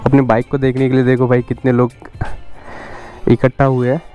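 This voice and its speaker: A man's voice: mid-range voice, monotone, brisk, dark tone, highs cut off, soft background music. A young man chatting casually to viewers as a motovlogger, outdoors on a road. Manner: chatty, addresses viewers as guys and brother. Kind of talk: casual vlog chat.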